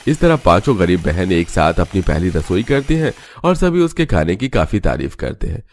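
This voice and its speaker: A man's voice: deep voice, very animated, moderate pace. A man reading scripted storybook narration for a cartoon.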